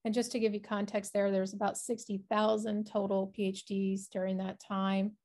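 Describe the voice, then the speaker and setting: Woman, middle aged, medium pitch, explaining academic stuff in an online talk. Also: level tone, moderate pace, darkish tone. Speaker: researcher presenting an online talk. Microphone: video call, webcam framing.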